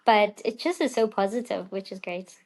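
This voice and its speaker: A woman's voice: mid-range voice, lively intonation, moderate pace, darkish tone. A woman with a South African accent chatting casually as an interview guest, in a chatty, giggly manner.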